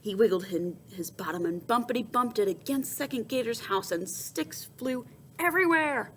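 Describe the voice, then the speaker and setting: A woman reading a children's storybook aloud in an expressive, dramatic storytelling manner. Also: on the high side, moderate pace, slight background noise. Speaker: storybook reader.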